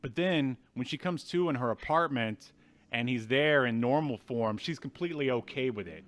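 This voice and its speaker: A man in his thirties co-hosting a movie review show, recapping a film in a casual, wry, halting way. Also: mid-range voice, a bit bright. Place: indoors at home, video call.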